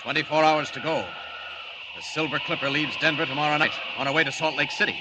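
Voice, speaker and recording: An actor playing a determined, confident young superhero musing aloud in a 1940s radio drama. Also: male voice, a little high, steady tone, quick pace, natural voice. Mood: resolute. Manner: resolute, confident. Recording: very noisy old 1940s radio recording.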